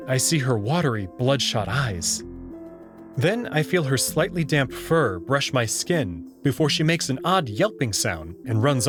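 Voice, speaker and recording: A man reading first-person story narration from a script. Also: medium pitch. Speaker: narrator reading a visual novel aloud. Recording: music playing behind the voice.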